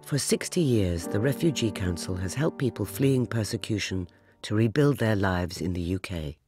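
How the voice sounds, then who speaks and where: A man reading scripted documentary narration in a measured, formal way. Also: lowish voice, animated, a little mellow, soft background music.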